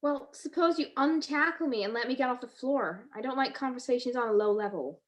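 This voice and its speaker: A woman plays a quick-witted lady detective in an old-time radio mystery. Her voice is on the high side, and she delivers her lines with wry, teasing confidence. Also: close to an everyday voice. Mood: wry, probing. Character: quick-witted wry female amateur sleuth. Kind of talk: 1940s radio mystery acting.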